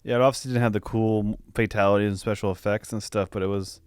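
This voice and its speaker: A man's voice: on the low side, steady tone, fast, smooth, no room sound, clean studio sound. A man co-hosting a podcast in casual film review chat, often hesitating and rewording mid-thought. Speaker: podcast co-host.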